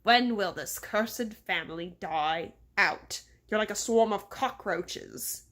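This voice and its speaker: A pompous storybook mayor with a British accent, acted out by a woman reader. He drags his words out with sneering condescension and is quick to show irritation. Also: medium pitch, fairly bright tone. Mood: sneering, menacing. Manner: drawn-out, condescending delivery.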